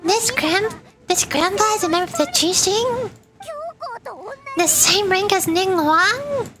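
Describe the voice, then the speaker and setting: A woman voicing a tiny, excitable fairy companion in a shrill, incredulous manner. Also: takes it slowly, full character voice. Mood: astonished.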